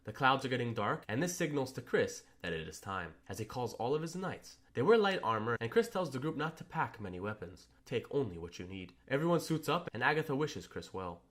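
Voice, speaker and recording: A man narrating a scripted comic story recap in a casual, slangy storytelling manner. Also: medium pitch.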